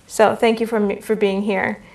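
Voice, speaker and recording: A woman's voice: mid-range voice, flat delivery, a little slow, a little mellow. A woman hosting an interview, putting questions and introducing guests. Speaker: interviewer, host. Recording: somewhat distant from the mic.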